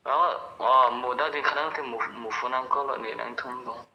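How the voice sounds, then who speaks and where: A man's voice: medium pitch, fairly even intonation, normal speed. A man chatting casually on the receiving end of a phone call. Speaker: prank call recipient. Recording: echoey noisy phone call.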